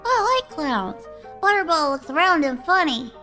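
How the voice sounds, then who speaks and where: A woman's voice: sits high, big pitch swings, measured pace, bright, forceful tone, soft background music, full character voice. A woman playing a timid, gullible little sister in an audio drama. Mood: admiring. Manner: innocent, childlike. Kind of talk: audio horror-comedy drama.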